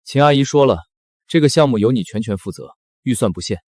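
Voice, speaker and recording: A man playing an attentive, gently teasing young minder in a short drama. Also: mid-range voice, expressive, a little fast, dry recording, studio quality, lightly acted.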